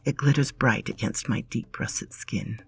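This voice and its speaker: Storyteller, woman, husky whispery read, intimate. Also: very deep voice, normal speed. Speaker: story narrator. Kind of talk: dramatic storybook reading.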